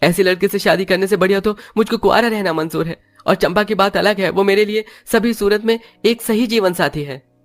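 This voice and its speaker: A man's voice: high voice, fairly quick, faint music behind. A man voicing an earnest young bridegroom in a moral story cartoon, speaking firmly. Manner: earnest, firm. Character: earnest young bridegroom son standing up to mother.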